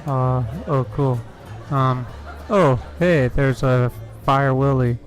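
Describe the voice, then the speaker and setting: A man's voice: mid-range voice, takes it slowly, faint music behind. A man hosting an improv game, asking short, prompting questions in casual chat.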